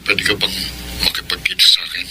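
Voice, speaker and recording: Casting: man playing estranged Filipino husband, low and subdued, pleading radio drama phone scene, noisy background. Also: flat delivery, fairly quick, lightly acted.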